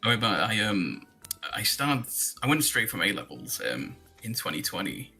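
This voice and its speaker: A man's voice: on the low side, sing-song, moderate pace, vocal fry, bright, forceful tone, breathy edge, soft background music. A man in his twenties, a guest chatting casually on a livestream, laid-back and dry, swearing now and then. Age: 20s.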